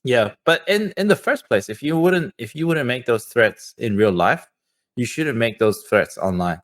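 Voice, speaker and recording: A man's voice: medium pitch, expressive, moderate pace, a bit bright. A man co-hosting a podcast in casual, slangy conversation, often circling into his point with filler words. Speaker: podcast co-host. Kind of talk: casual chat.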